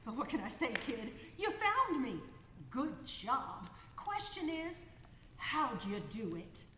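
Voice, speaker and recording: A woman's voice: high voice, sing-song, slow pace, exaggerated voice. An actress playing a brash, good-humored 1930s woman aviator with breezy, slangy talk in a radio play. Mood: cheeky. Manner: breezy slangy talk, calls people kiddo. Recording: echoey hall, faint background noise.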